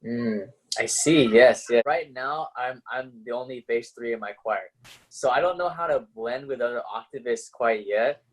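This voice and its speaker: A man's voice: mid-range voice, normal speed, poor audio. A man interviewing casually as a fellow singer, phrasing and rephrasing his questions tentatively. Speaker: interviewer, choir bass singer.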